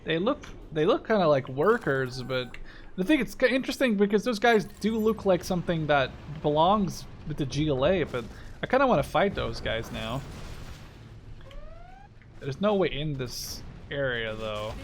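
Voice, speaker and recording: Casual young man, slightly high voice. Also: big pitch swings, moderate pace, a little hiss or hum. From Netherlands. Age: 20s. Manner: enthusiastic, informal, swearing. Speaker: gaming video host. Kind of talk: casual, rambling game commentary.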